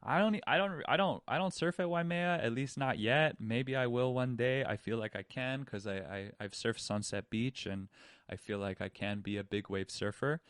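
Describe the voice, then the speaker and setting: A man's voice: mid-range voice, normal speed, a bit bright, clear, resonant tone, dry recording, clean studio sound. Candid man musing aloud. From Hawaii. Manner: candid, rambling, with many restarts and fillers. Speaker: podcast host. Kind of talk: reflective personal monologue.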